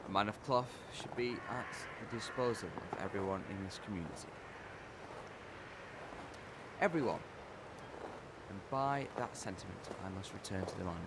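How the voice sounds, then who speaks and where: A kindly village priest, played by a man, with a lowish voice and an earnest, formal manner. It is an audio drama on a clearly noisy recording. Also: fairly quick, lightly acted. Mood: solemn.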